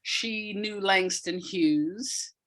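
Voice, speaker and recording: A woman from the United States talks as a guest in a conversational interview. She explains things thoughtfully, pausing to find the right term and leaning on important points. Her voice sits at a middling pitch. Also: fairly bright tone. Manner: thoughtful, searching for words, emphasising key points. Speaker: interview guest, audio drama writer.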